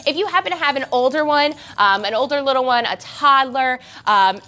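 Brief: young woman, baby gym teacher, high bright voice, sing-song and coaxing, bells jingling behind. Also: expressive, brisk. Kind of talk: instructional class for parents and babies.

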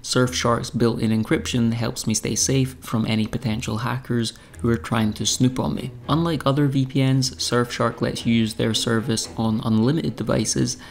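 A man's voice: medium pitch, flat delivery, normal speed, creaky, hardly any pauses, a little hiss or hum. A young man narrating a scripted video tour, with dry, wry humor. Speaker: YouTube channel host. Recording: voice-over with faint background music.

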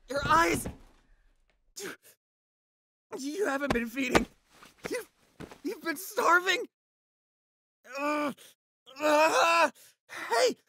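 A young man acting an anxious, devoted boyfriend in a roleplay audio, halting and stammering as he pleads. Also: very high voice, gravelly, bright, forceful tone, a little hiss or hum, exaggerated voice. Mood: panicked, pleading.

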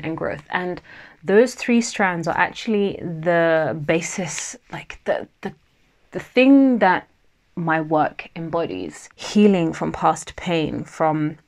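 A woman hosting her own podcast in a free-flowing, unscripted chat, laughing often and pausing now and then with a slight stammer. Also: deep voice. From Nigerian family, Yoruba heritage. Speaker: podcast host.

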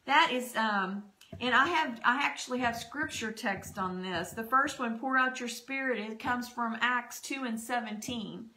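A woman's voice: on the high side, a little slow, pushed and bright. A middle-aged woman pastor praying fervently and citing scripture, recorded at home on a desk microphone. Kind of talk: spoken prayer and testimony on a church broadcast. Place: home dining room. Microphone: desk podcast microphone.

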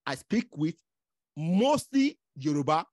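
A man's voice: fairly high pitch, big pitch swings, slow, a little mellow, dry recording, studio quality. A man from Nigeria whose first language is Igbo, hosting a podcast, who interrupts, repeats words and keeps checking with "okay?". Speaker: podcast host.

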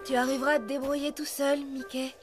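A woman's voice: high-pitched, level tone, fast, over music, close to an everyday voice. A woman voicing a tender, worried mother in a French cartoon dub, soothing and comforting.

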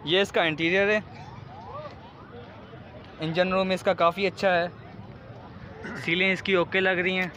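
A man with a Karachi Urdu accent chats casually while filming cars at an outdoor bazaar, asking sellers questions and repeating their answers. His voice sits high and sounds bright and forward. It is picked up by a handheld phone outdoors. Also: little pitch movement, unhurried, pauses often, a little hiss or hum. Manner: repeats prices and details back, asks sellers questions. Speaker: vlogger touring a car market. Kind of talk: casual walkaround car review. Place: outdoor car bazaar among crowds.

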